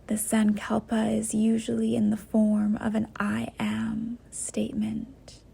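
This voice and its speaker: Soft, gravelly, very slow woman meditation guide. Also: on the high side. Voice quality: soft, breathy, half-whispered. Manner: gentle, unhurried, with long pauses. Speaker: yoga nidra guide.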